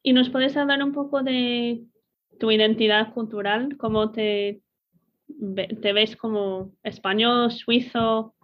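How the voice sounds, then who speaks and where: Higher-voiced woman asking questions. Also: fairly even intonation, slow pace. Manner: brief questions and backchannel sounds. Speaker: interviewer. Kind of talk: casual interview questions. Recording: dull lo-fi recording.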